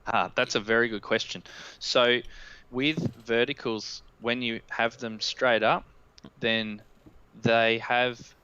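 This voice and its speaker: A man's voice: medium pitch, fairly even intonation, slow pace, bright, forceful tone, smooth, muffled recording. An Australian-accented man in his thirties, a guest expert giving a technical explanation on a live stream. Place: indoor room at home, on a video call. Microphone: headset.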